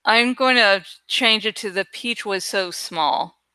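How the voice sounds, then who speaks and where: Bright, clear-voiced woman chatting casually. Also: mid-range voice, slow pace, dry recording. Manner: sweary, thinking aloud. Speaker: online creator and host. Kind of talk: casual rambling chat while working on a graphic.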